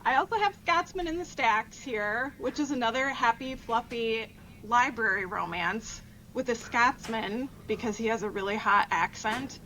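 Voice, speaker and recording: A woman's voice: on the high side, normal speed, sharp tone, slight background noise, poor audio. A woman author chatting casually as a podcast guest in an agreeable, lightly wry way. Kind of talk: casual chat.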